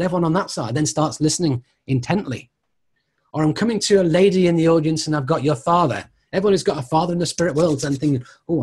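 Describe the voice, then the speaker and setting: Male tutor, middle-aged, slightly high and husky voice, informal question-and-answer teaching. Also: darkish tone. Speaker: mediumship tutor.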